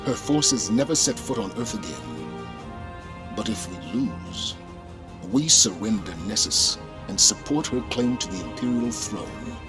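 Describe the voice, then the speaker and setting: A man playing a principled, burdened military commander in a video game, speaking in a grave, measured, formal way. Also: fairly low pitch, lively intonation. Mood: grave, solemn. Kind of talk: video game cutscene acting. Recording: orchestral music behind the voice.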